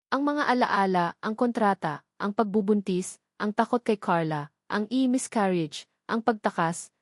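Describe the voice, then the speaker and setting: A woman's voice: medium pitch, no echo. Filipino woman narrating dramatically, dry close sound. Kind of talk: dramatic scripted storytelling narration.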